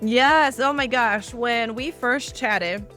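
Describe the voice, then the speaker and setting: Energetic female podcast host, emphatic, fairly high voice. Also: slow pace, soft background music. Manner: upbeat, emphatic. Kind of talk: scripted podcast intro and interview.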